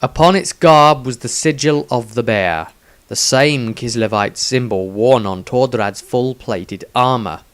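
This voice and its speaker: A man's voice: mid-range voice, a little slow, fairly bright tone, smooth. Man narrating a fantasy tale. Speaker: author reading own story. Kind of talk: scripted fantasy story narration.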